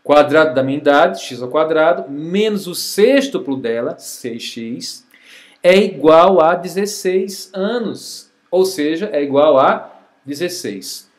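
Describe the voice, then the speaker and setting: A man's voice: fairly high pitch, expressive. A Brazilian man teaching a recorded math review lesson, explaining step by step and checking in often with the class. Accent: Brazilian Portuguese. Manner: slow, patient explaining with frequent check-ins.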